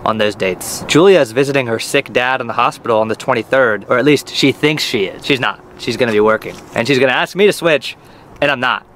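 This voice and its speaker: A man playing a petty, smug sous chef in a mockumentary comedy sketch, deadpan and matter-of-fact. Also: medium pitch, brisk, a little hiss or hum, close to an everyday voice. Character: smug, petty male sous chef who enjoys spite.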